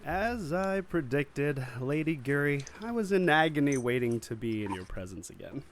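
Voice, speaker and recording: A man's voice: on the high side, animated, normal speed, natural voice. A man playing a flamboyant, fawning middle-aged alien casino boss in a script table read, theatrical and flowery.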